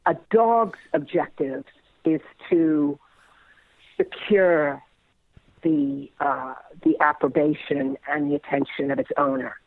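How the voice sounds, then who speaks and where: A man giving an interview in a halting, thoughtful, bookish conversational way. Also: fairly high pitch, phone-quality sound. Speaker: interview guest.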